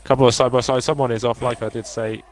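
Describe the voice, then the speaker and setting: A man's voice: medium pitch, little pitch movement, quick pace. A man co-commentating a live sim racing stream, easygoing and joking as he calls the action.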